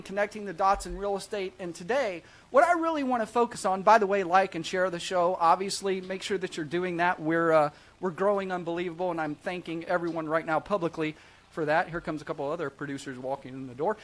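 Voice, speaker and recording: A man's voice: high-pitched, expressive, brisk, fairly bright tone. A man hosting a live real estate show, chatting casually in an upbeat, salesman-like way and often checking in with "right?" tags. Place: small studio set at a desk.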